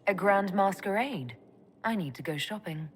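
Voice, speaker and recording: An actress voicing a sharp, curt elf mage who leads the group in a fantasy game. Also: female voice, medium pitch, lively intonation, normal speed, a little hiss or hum, close to an everyday voice. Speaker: player character in a fantasy game. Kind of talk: video game dialogue acting.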